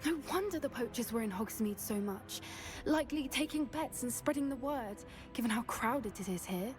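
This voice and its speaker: A woman acting a young British schoolgirl witch, high-pitched and earnest, devoted to animals. She speaks with determined, conspiratorial care in game dialogue, with music playing behind her. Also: lively intonation, normal speed, natural voice. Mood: hushed, indignant.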